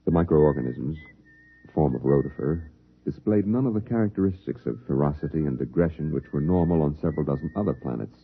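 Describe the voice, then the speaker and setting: A man's voice: rumbling low, expressive, fast talker, slightly rough, exaggerated voice. A man delivering grave scripted narration as the narrator of a 1950s science fiction radio play. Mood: grave, measured. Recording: dull lo-fi 1950s radio recording.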